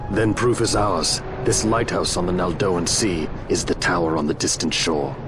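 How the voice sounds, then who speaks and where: A man voices a resolute warrior in a fantasy game. His very deep voice is stern and formal, set against a prominent score. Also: fairly even intonation, normal speed, over music, close to an everyday voice. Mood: grave, certain. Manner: formal, weighty. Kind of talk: video game cutscene acting. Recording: game score behind dialogue.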